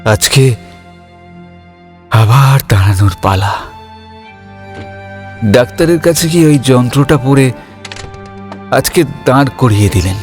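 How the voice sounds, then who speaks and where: A slow, wistful young man, emotive. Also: mid-range voice, animated. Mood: reflective, hopeful. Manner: halting, stammering, emotive delivery. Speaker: narrator and lead character. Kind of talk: dramatic Bengali audio story narration. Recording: music under the voice.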